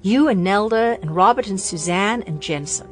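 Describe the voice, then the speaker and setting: A woman's voice: medium pitch, animated, normal speed, soft background music. Uneasy, probing young woman. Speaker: daughter of the house. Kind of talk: radio drama acting.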